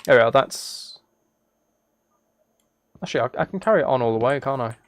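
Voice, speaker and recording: A man streams live in a relaxed, soft-spoken manner, with a mid-pitched voice. He rambles and thinks aloud as he games, chatting casually to viewers. Keyboard typing can be heard as he talks. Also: a little fast, no echo. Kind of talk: casual livestream chat while gaming.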